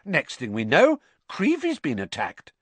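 A man's voice: a little high, wildly swooping pitch, measured pace, husky, no room sound, voice pushed up, heavily acted. A man voicing a pompous, fearful schoolboy in an audiobook, dramatic and insistent. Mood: ominous gossip. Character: pompous, fearful schoolboy spreading dark suspicions. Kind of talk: audiobook character acting.

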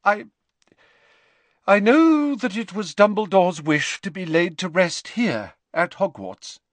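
A man voicing a stern older female professor, brisk and formal, in an audiobook. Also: high-pitched, very animated, a bit bright, dry recording, voice pushed up, exaggerated voice. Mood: shaken, formal.

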